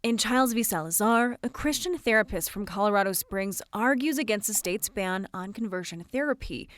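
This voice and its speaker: A woman's voice: medium pitch, animated, moderate pace, fairly bright tone. An American woman reporter narrating a scripted news package.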